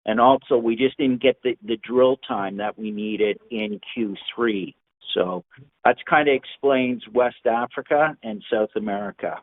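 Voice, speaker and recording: Male finance executive, mid-pitched, explaining off the cuff in halting, repetitive phrases, thin phone sound. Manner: halting, repeats words. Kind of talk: unscripted answer on earnings call. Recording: muffled narrow-band phone line.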